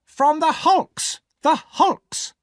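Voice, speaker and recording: A man voicing a hard, angry older woman who scolds sharply in a shrill voice. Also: very slow, dry recording, clean studio sound, full character voice. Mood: snapping.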